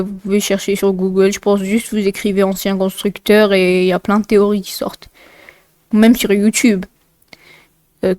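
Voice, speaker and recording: Clear-voiced woman with a blocked nose. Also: medium pitch, mellow, no room sound. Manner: informal, rambling, often digressing. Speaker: video creator and narrator. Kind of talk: casual explainer narration with asides.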